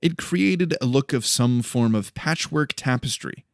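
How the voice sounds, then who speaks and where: A man's voice: medium pitch, lively intonation, unhurried, vocal fry, no echo, clean studio sound. A man reading scripted story narration as the narrator of an audiobook.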